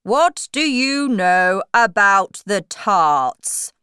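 A woman's voice: medium pitch, animated, drawn-out words, sharp tone, smooth, dry recording, clean studio sound, full character voice. A woman voicing a pompous, stern king who commands and questions in a storybook. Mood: questioning.

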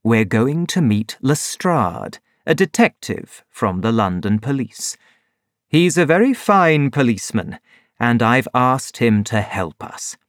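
A reader voicing a composed male detective who explains and gives orders in measured tones. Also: medium pitch, no echo, clean studio sound. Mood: calm. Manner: calm, measured, authoritative. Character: calm, commanding male detective.

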